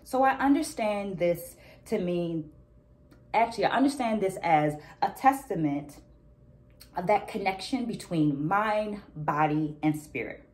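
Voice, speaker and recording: A woman in her thirties giving a reflective, deliberate explanation of a book as a vlog host, talking to camera. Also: fairly low pitch, expressive, darkish tone. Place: small indoor room at home.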